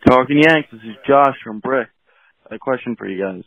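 A man's voice: mid-range voice, normal speed, vocal fry. A man leaving a friendly, chatty voicemail question for a podcast. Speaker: voicemail caller. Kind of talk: recorded voicemail. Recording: tinny phone-line voicemail.

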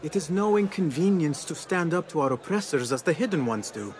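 An actor playing an earnest, proud young street thief with idealistic, formal phrasing in a video game drama. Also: male voice, a little high, animated, a little fast, a little mellow, natural voice. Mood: proud, teasing. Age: young adult.

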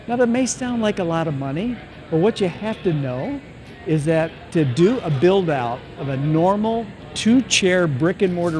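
Upbeat older barber pitching to camera. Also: male voice. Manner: upbeat salesman pitch, rhetorical questions. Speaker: barber and franchise owner. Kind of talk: direct-to-camera promotional vlog. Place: indoor shopping mall. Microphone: camera on tripod at mid distance.

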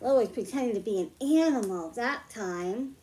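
A middle-aged woman reading picture books aloud to young children, explaining slowly and clearly at home. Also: on the high side, expressive, mellow. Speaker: children's librarian. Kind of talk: storytime read-aloud for kids.

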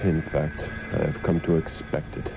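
Low-voiced brooding sorcerer hero. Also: male voice, flat delivery, moderate pace, gravelly. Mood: resolute questioning. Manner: formal, brooding. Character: earnest, troubled male master sorcerer, brave and brooding. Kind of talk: 1960s radio drama acting. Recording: muffled old radio recording with music.

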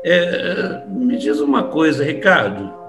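A man plays a cynical, middle-aged streetwise crook in an audio drama. The voice sits a little high and swings widely, taunting in street slang over faint music. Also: very animated, slow, full character voice. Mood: sly, probing. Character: cynical, mocking, streetwise older crook and father.

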